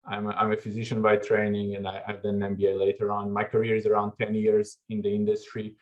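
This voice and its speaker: A fairly low, flat-voiced man from Portugal delivers a keynote over a video call, pausing on fillers and restarting his phrases. The audio is dull and lo-fi. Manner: measured, with frequent fillers and restarts. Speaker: keynote speaker. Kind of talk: online conference presentation.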